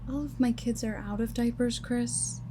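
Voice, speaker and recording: A woman playing a brisk, self-assured working mother and scientist in an audio drama, with an assured, matter-of-fact manner. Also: on the high side, steady tone, noisy background, close to an everyday voice. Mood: fond, proud.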